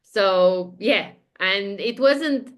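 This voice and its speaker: A woman's voice: mid-range voice, extremely slow. A woman in her thirties from Romania, with a Romanian accent, chatting as a podcast guest and telling her story with frequent fillers and light laughs. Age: 30s. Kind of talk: casual interview chat.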